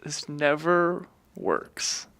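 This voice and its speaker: A man's voice: on the high side, big pitch swings, drawn-out words, gravelly, bright, forceful tone. A man chatting casually as a player in a tabletop game, with a wry, self-deprecating way of talking.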